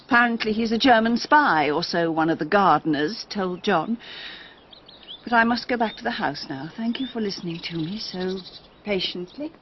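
An aloof, restless British gentlewoman played by a woman in a radio drama. She speaks in a measured, dramatic way with guarded poise. The sound is dulled, with faint noise behind. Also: mid-range voice, close to an everyday voice. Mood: cool, guarded. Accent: British English. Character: aloof, restless, unhappily married English-Russian gentlewoman. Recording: muffled radio recording with noise, birdsong.

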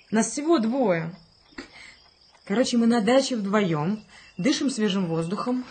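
An actress playing a wistful, romantic young pregnant woman in a radio play, chatty and slightly plaintive, with a slightly husky voice. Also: mid-range voice, a little mellow. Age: young adult.

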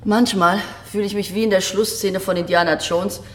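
An actress playing a blunt, disillusioned museum director in a German radio drama, speaking in a matter-of-fact, sardonic way. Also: female voice, mid-range voice, steady tone, moderate pace, close to an everyday voice. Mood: resigned, sardonic. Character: blunt, disillusioned female museum director explaining her collection. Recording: roomy, echoing archive-like acoustic.

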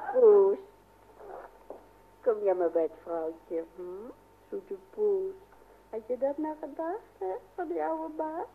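An actress playing a brisk, gently teasing older housewife and mother in a radio play. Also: on the high side, creaky, a little hiss or hum. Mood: playful. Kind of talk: Dutch radio play acting. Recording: tinny band-limited old radio recording.

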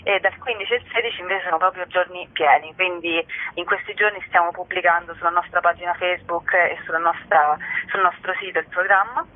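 High-voiced man on a phone line. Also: fairly even intonation, brisk, hardly any pauses. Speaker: festival organiser, interview guest. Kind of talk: radio interview. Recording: narrow telephone-band sound.

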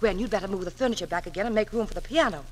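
An actress playing a gentle, resilient middle-aged mother, affectionate and composed, in a 1940s radio drama. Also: medium pitch, very fast, close to an everyday voice. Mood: earnest. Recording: noisy old 1940s radio broadcast.

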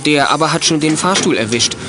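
A man's voice: a little high, animated, fairly quick, music in the background, close to an everyday voice. A man narrating a children's detective radio play with scripted, dramatic storytelling.

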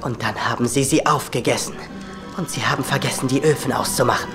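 An actor playing a teenage older brother telling a creepy tale in a German audio drama. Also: male voice, medium pitch, brisk, music in the background, natural voice. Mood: ominous, teasing.

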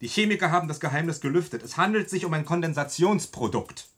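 An actor playing an earnest, flustered pharmacologist in a German radio drama, formal, pedantic and defensive. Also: male voice, fairly high pitch, lively intonation, quick pace, fairly bright tone. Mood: earnest, insistent.

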